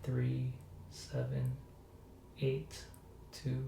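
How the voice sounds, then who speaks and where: A man playing the patient in an ASMR medical roleplay, giving brief, cooperative answers and reading out slowly. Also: mid-range voice, completely flat delivery, gravelly. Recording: echoey room with faint noise.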